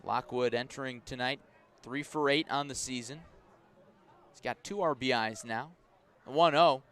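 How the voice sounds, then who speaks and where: A man calling a baseball game live on the radio, describing each pitch in measured phrases and lifting his voice for key plays. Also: a bit bright. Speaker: baseball play-by-play announcer. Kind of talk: live radio sports commentary.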